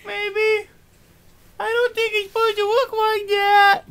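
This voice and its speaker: A performer voicing a vain, pushy, crude male pig in a comedic puppet-show style, squeaky and blustering. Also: little pitch movement, slow pace, a bit bright, heavily acted. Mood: sheepish.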